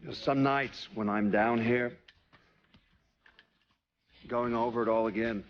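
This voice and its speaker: An actor playing a weary, haunted American man who gives brooding, deliberate testimony in a video game cutscene. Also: medium pitch, fairly even intonation, dull audio, a little hiss or hum, lightly acted. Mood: haunted, bitter.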